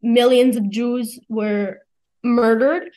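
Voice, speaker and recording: A woman's voice: on the high side, steady tone, slow pace, dark tone, poor audio. A young woman in her late teens, a student giving informal, plain-spoken answers in an interview. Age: teens, high school senior.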